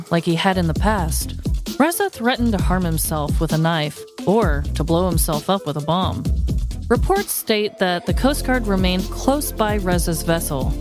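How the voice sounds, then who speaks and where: A woman podcast host reading a scripted true-crime story in a playful, dramatic way. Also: lowish voice.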